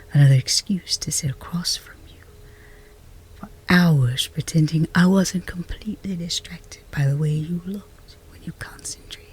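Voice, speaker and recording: Woman acting a breathy, whispering, lovestruck chess rival. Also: fairly low pitch, a little mellow, poor audio. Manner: intimate, halting, teasing. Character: flustered lovestruck chess rival, wry, earnest, confessing. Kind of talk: romance roleplay ASMR.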